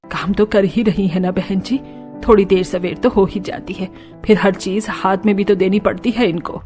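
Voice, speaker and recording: Woman doing a patient older cartoon mother-in-law, lowered voice, calm and measured, light music behind. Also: natural voice. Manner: calm, explaining. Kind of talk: Hindi cartoon story dialogue.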